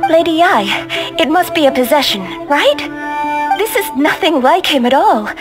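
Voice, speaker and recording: A woman's voice: high voice, expressive, moderate pace, over loud music. A woman playing an anxious, earnest young female disciple in a video game, hesitant and pleading. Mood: worried.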